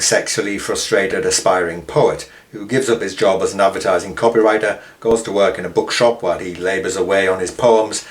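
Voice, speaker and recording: Male presenter, low voice, informal documentary commentary. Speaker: author and presenter.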